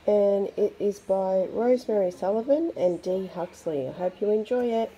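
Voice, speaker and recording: A woman reading a picture book aloud to young children in an expressive, chatty way. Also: mid-range voice, moderate pace, dull audio, slight background noise. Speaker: storybook reader for children.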